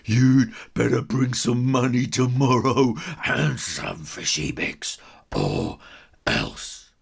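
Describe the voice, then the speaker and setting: A man voicing a menacing, slow-witted school bully cat in a children's storybook, with blunt, demanding speech. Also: mid-range voice, animated, vocal fry, husky, full character voice.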